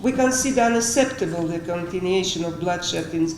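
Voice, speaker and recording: A woman's voice: on the low side, brisk. A woman reading out a formal statement at a conference. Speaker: conference speaker. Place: conference room. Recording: roomy echo, faint background noise.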